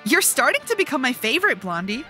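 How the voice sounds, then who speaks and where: A woman plays a brash, party-loving witch in an audio drama. Her high voice is very animated and full of wicked teasing. Music runs clearly behind her. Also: quick pace, heavily acted.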